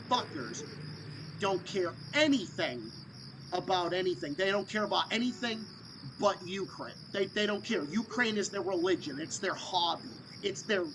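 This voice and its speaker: A man hosting a live stream call, ranting loudly and swearing constantly. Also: high voice, lively intonation, moderate pace, noisy background. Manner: profane, loud ranting.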